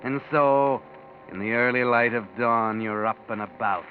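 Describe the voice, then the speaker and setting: An actor playing a knowing, ominous narrator of a 1940s radio mystery, needling the listener with insinuating questions. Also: male voice, mid-range voice, unhurried, lightly acted. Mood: sly, ominous. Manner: addresses the heroine directly with needling questions. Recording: tinny 1940s radio recording, music behind.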